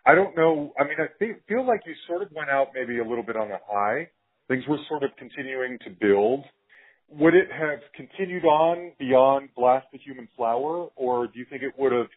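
American male interviewer, slightly rough voice. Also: mid-range voice, phone-quality sound. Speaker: podcast host, interviewer. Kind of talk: casual conversational interview.

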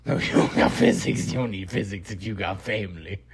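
A man's voice: deep voice, wildly swooping pitch, brisk, vocal fry, slightly rough. A man hosting a podcast, giving casual comedic movie commentary in a profane, mock-incredulous riffing way. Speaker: podcast host.

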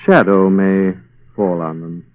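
An actor playing a calm, resolute, protective gentleman crime-fighter in a 1940s radio drama. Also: deep voice, slow. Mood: reassuring, resolute. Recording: muffled old radio recording.

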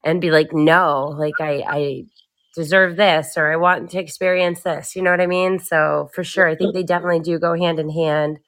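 A woman with a somewhat low voice talks loudly in a casual chat. She tells stories with animation, and fillers and laughter come through. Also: normal speed. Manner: loud, animated storytelling.

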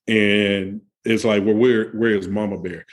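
A man guest on a video call, relaxed and telling stories with many fillers. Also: fairly low pitch, a little slow. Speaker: guest, camper.

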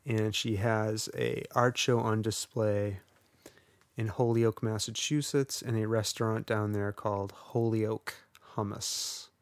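A man with a low voice talks through his day in relaxed podcast chat. He rambles a little and pokes fun at himself. The sound is close and dry, with no room around it. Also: takes it slowly, dry recording. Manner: wry, rambling and self-deprecating. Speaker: podcast co-host. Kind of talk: casual chat.

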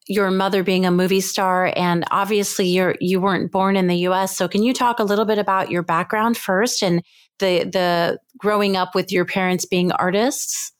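A woman's voice: medium pitch, smooth. A woman hosting an interview podcast, thoughtful, asking long winding questions. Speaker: podcast host.

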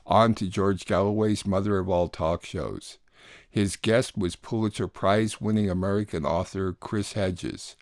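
Male radio host, low register, dry studio sound, scripted links. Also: a little mellow, no echo. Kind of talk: scripted announcements and introductions.

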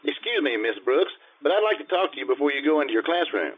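An actor playing a shy, earnest male teacher with a halting, polite manner in an old-time radio comedy. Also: on the high side, animated, noisy background, close to an everyday voice. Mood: hesitant. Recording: tinny, phone-like old radio-style sound.

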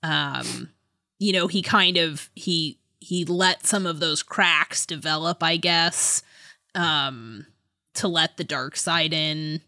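A woman's voice: deep voice, takes it slowly, bright, forceful tone, no room sound, studio quality. A woman co-hosting a podcast, talking through a book casually in a thoughtful, hesitant way. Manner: hesitant, thoughtful, hedging delivery. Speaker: podcast co-host.

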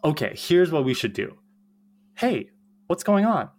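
A woman playing an enthusiastic, anxious young college witch in a podcast table read, dramatic and halting when flustered. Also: sits low, very animated, a little mellow, a little hiss or hum. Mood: excited, determined.

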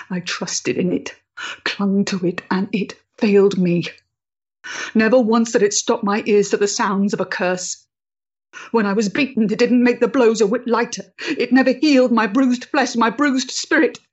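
Actress as a slow, husky, bitter woman. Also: mid-range voice, highs cut off. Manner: intense, deliberate. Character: bitter, disillusioned, proud woman spurning religion. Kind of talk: period audio drama acting.

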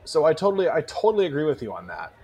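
Animated, higher-voiced man, freely swearing. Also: very fast, husky. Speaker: podcast co-host. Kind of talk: casual music discussion.

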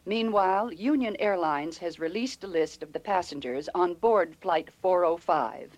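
An actress performs a television newsreader within a radio drama. Her voice sits a little low. She reads formally, with measured composure. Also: a little mellow, no echo, lightly acted. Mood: sober.

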